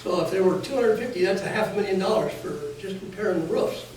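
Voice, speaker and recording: A man's voice: high-pitched, quick pace, a little mellow. An American man answering residents as an official at a community meeting, explaining things in a plainspoken, folksy way. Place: indoor community meeting room, recorded from afar. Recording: echoey room, distant mic, faint noise.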